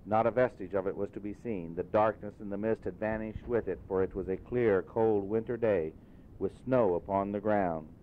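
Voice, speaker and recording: A man narrating a literary story as an audiobook reader, in deliberate phrases. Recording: muffled phone-like audio, rumbling noise.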